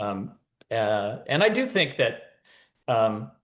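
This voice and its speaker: A man speaking as a guest author in a casual interview, halting and thoughtful with a wry touch. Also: medium pitch, big pitch swings, a bit bright.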